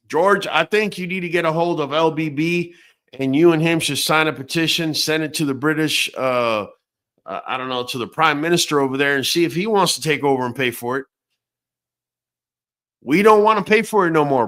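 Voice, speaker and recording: A man's voice: a little high, pushed and bright. Blunt, profane man ranting. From Venezuela. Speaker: host. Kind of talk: late-night casual talk.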